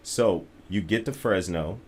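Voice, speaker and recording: A man hosting a casual interview, asking questions in a relaxed, playful way. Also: low-pitched, measured pace, slight background noise. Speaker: podcast host.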